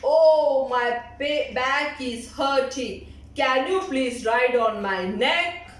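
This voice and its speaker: Echoey, slow sly fox, played by a woman. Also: sits high. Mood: complaining, coaxing. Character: sly fox feigning aches to trick his prey. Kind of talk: amateur puppet show storytelling. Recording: very echoey room, music behind.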